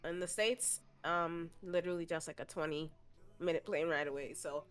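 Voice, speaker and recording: A woman's voice: fairly low pitch, steady tone, normal speed, clean tone. A young woman from the Bahamas, a streamer guest chatting casually in an interview, laughing often and swearing freely.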